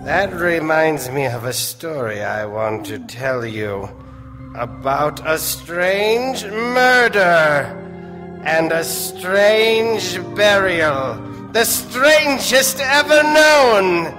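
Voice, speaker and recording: Macabre horror host, ominous, very slow, theatrical, old-time radio, reverberant hall. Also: male voice, sits high, very animated, full character voice. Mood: eerie, mock-welcoming. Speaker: host and storyteller of a horror serial. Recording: reverberant stage hall, music behind.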